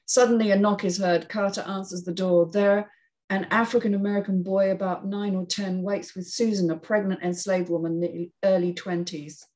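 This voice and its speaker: A woman's voice: medium pitch, fairly even intonation, moderate pace, darkish tone. A woman narrating and hosting a script reading, reading out screenplay stage directions. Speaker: narrator and panel host.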